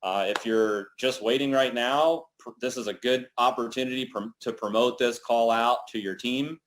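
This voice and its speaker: A man's voice: medium pitch, fairly even intonation, normal speed, fairly bright tone, rough recording. A man hosting a company team call, talking casually and upbeat, with lots of "you know" and "like".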